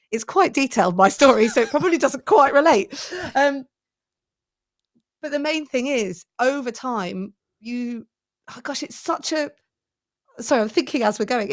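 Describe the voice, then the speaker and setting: A woman in her forties, a presenting coach, talking enthusiastically as a podcast guest and thinking aloud as she goes. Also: a little high. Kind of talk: conversational podcast interview.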